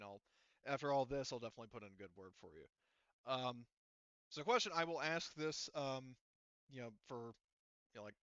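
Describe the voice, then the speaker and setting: A man's voice: medium pitch, pushed and bright, clear, resonant tone, no room sound, lo-fi sound. A man running a tabletop roleplaying session as game master, talking conversationally and often searching for his words.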